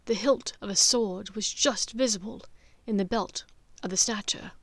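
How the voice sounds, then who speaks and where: A woman's voice: mid-range voice, moderate pace, no echo. A woman reading scripted fiction as a dramatic audiobook narrator.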